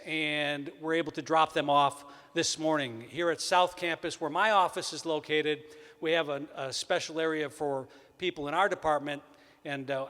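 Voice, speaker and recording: A man from Wisconsin with a Wisconsin accent, emceeing a college convocation with upbeat announcements and jokes. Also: fairly bright tone. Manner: upbeat, joking, booming sports-announcer intro. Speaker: event emcee. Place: large hall. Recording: long hall reverb.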